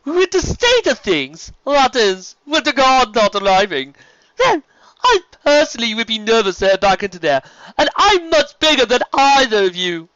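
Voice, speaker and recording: A narrator voicing a flamboyant, courtly male sea serpent from a storybook, gallant and dramatic, with florid, drawn-out phrasing. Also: mid-range voice, very animated, a bit bright, no room sound, lo-fi sound, voice pushed up. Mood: ominous, courtly. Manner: florid, drawn-out, theatrical delivery.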